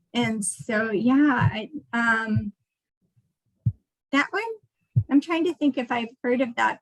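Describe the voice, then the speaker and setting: Higher-voiced, creaky woman researcher. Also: dry recording, poor audio. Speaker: researcher presenting at a talk. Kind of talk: informal research talk, answering questions. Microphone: video call.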